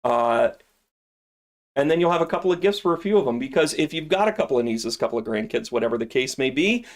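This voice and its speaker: A middle-aged man chats through a live product demo from his shop. He rambles and cracks jokes between sales pitches, at an ordinary middle pitch. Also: animated, quick pace. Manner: joking, rambling, salesy asides. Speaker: shop owner and live-stream host.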